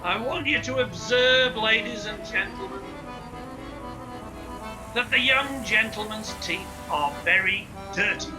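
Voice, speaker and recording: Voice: mid-range voice, measured pace, natural voice, low-quality recording. An actor playing a brash, slick street hawker, delivering grandiloquent sales patter in a theatrical radio play style. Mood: slick, showy. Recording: streamed radio play with music underneath.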